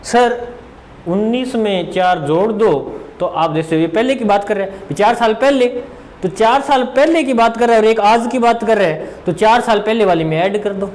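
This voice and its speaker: A man's voice: high-pitched, normal speed, darkish tone, low-quality recording. A man teaching informally, repeating phrases for emphasis and answering his own questions as students would. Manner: mixes English terms into Hindi. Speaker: maths teacher. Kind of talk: informal exam-prep lesson.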